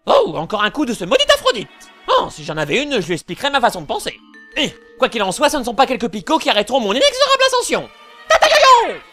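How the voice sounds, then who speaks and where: A very high-pitched, foolish young cartoon knight, acted by a man. He boasts and whines theatrically in a parody dub, with music behind him. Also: sharp tone, heavily acted. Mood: pained, bewildered. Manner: exclamatory, theatrical whining.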